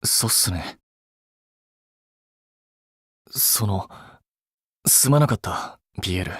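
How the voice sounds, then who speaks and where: An actor playing a blunt, practical young male idol in a game, deadpan and casual with an awkwardly kind streak. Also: lowish voice, measured pace, husky, no room sound, studio quality, exaggerated voice. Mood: awkward, apologetic. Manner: deadpan, casual clipped speech. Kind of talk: Japanese mobile game voice acting.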